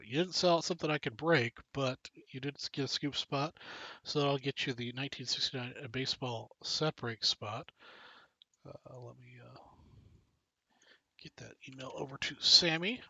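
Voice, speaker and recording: A man with a bright, forceful voice hosts a casual live stream, joking with viewers and reading off names as he goes. The sound is dull and lo-fi, with a dry, close feel and no room around it. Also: medium pitch, moderate pace, gravelly, no room sound. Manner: joking, chatty, reads out names and cards. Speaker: live stream card break host.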